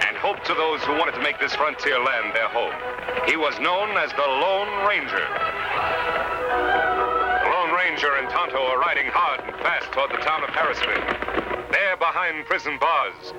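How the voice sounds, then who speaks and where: A man's voice: fairly high pitch, lively intonation, moderate pace, over loud music, poor audio. A man announcing an old Western radio drama in a declamatory, dramatic narrating style. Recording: old-time radio recording.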